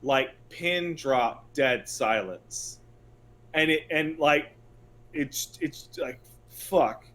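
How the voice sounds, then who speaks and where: Exasperated, higher-voiced male podcast co-host. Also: extremely slow, fairly bright tone, a little hiss or hum. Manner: exasperated, hyperbolic, emphatic. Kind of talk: casual podcast chat, ranting commentary.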